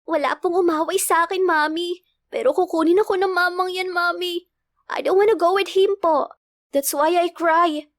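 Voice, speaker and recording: A woman's voice: extremely high pitch, fairly even intonation, moderate pace, dry recording, studio quality, pitched above the performer's own voice. A woman voicing a sweet, scared little girl in a storybook narration, whiny and pleading. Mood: tearful pleading. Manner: whiny, polite childlike pleading.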